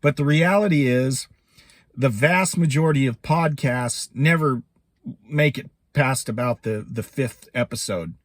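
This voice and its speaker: Middle aged american guy chatting casually to his viewers, normal pitch, real dry sound like sitting in a truck. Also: a little slow, no echo. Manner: conversational, chatty. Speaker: YouTube host and voiceover podcaster. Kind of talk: casual vlog update to camera. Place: inside a parked truck.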